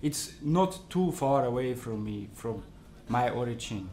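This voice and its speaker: Male musician, halting interview answers, echoey room sound. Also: mid-range voice, animated, warm tone, a little hiss or hum. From Switzerland. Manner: halting, searching for words. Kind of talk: casual interview.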